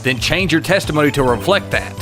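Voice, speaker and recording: A man's voice: fairly high pitch, expressive, a little fast, fairly bright tone. A man playing a determined young defense lawyer in a video game dub, pressing and confrontational in manner. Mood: confident, pressing. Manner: assertive, pressing. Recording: music under the voice.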